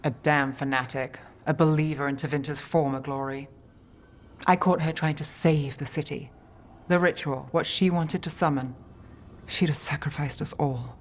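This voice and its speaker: An actress playing a jaded, hard-bitten investigator in a fantasy video game, speaking in a terse, weary, guarded way. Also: female voice, deep voice, steady tone, moderate pace. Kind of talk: dramatic video game dialogue.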